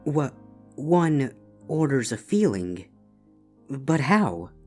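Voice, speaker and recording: A woman voicing a reserved, awkward pony princess in disguise, with a melancholy air and halting delivery in scripted story dialogue. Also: a bass voice, big pitch swings, darkish tone, heavily acted. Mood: flustered. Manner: hesitant, halting. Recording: music bed behind the voice.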